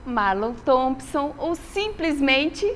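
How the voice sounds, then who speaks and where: A woman's voice: high voice, sing-song, takes it slowly, in a large hall, heavy background noise. A woman hosting a web TV show, introducing and interviewing her guest. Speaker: TV show host. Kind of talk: interview host.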